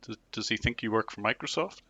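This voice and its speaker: Man, deadpan prank caller, needling questions, gravelly creak, bright edge. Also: on the low side, fairly quick, no echo. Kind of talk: teasing phone prank.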